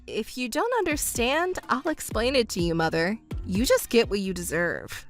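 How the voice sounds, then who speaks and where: A woman voicing a composed adult stepdaughter in a scripted family drama, speaking in a measured, firm and admonishing way. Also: on the high side, sing-song, soft background music. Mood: cold accusation.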